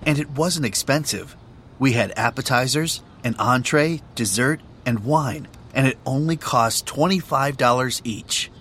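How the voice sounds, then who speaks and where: A man who announces the tracks and reads the lines of a course dialogue in a slow, careful manner. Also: mid-range voice, a bit bright, slight background noise. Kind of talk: scripted textbook audio reading.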